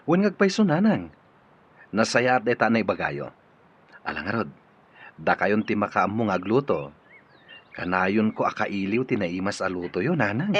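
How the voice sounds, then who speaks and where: A man's voice: lowish voice, big pitch swings, normal speed, long pauses, slightly rough. A man playing a modest, reserved, lovesick dutiful son in a radio drama, with a Filipino accent. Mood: warm, grateful. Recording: muffled, noisy radio drama recording.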